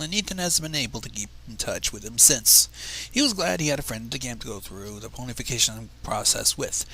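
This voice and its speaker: Bright-voiced man reading fan fiction, lively intonation. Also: medium pitch, poor audio. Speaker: fan fiction reader on a livestream. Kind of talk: story reading with asides.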